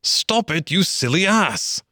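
A man voicing a capable, good-natured male water rat in a storybook, with a brisk, hearty, avuncular manner. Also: mid-range voice, lively intonation, no echo, clean studio sound, voice pushed up, lightly acted. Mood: alarmed, scolding. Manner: brisk, hearty, avuncular, old-fashioned British phrasing. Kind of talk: storybook character voice.

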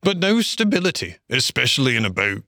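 A man's voice: mid-range voice, big pitch swings, a little fast, sharp tone, dry recording, clean studio sound, exaggerated voice. A man voicing a blunt, sociable, gossipy otter in an animal storybook, with a breezy, chattering manner.

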